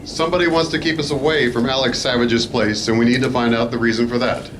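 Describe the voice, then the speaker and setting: A man acts a composed heroic leader in an old-time radio play. He is measured and formal, at a middling pitch. Clear noise sits behind his voice on a live stage. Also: fairly even intonation, natural voice. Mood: calm, serious. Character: calm, authoritative heroic leader, dryly understated. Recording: noisy live convention stage.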